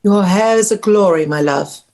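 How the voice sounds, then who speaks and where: A woman's voice: medium pitch, moderate pace, darkish tone, close to an everyday voice. A woman playing a grandiose, genteel mother and faded stage actress at a screenplay table read, with affected, formal, precise diction. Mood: airy, fond.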